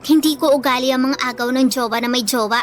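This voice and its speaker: Woman acting a very high-voiced, earnest Filipina schoolgirl. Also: fairly even intonation, quick pace, lightly acted. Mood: earnest, firm. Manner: pleading, earnest. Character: earnest bullied teenage schoolgirl, meek but standing firm. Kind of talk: Filipino radio drama acting.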